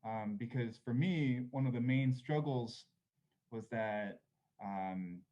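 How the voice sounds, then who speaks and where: A man's voice: mid-range voice, slow. Hesitant, thoughtful man on a panel. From Korea. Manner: thoughtful, hesitant, many fillers and soft laughs. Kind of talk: reflective panel conversation.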